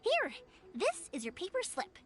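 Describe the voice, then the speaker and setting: A woman playing a cheerful, warm-hearted young firework maker in a video game, chatty and sincere, with a girlish voice. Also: extremely high pitch, huge pitch swings, unhurried, exaggerated voice. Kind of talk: video game character acting. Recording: music behind the voice.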